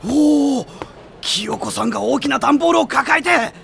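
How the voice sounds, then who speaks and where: An actor playing a brash, worshipful teenage schoolboy in an anime audio drama, with bombastic mock-formal phrasing and rough delinquent speech. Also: male voice, very high voice, expressive, normal speed, background noise, heavily acted. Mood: eager, worshipful.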